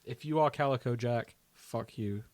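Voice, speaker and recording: A man's voice: medium pitch, normal speed, darkish tone, no room sound. British man in casual podcast banter. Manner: jokey, prone to laughing. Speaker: podcast co-host.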